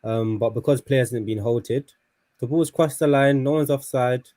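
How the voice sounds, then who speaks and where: A man with a mellow, darker voice talks calmly on a fan stream, laying out his view plainly. The sound is dry and close. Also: mid-range voice, moderate pace, dry recording. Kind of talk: casual live-stream chat.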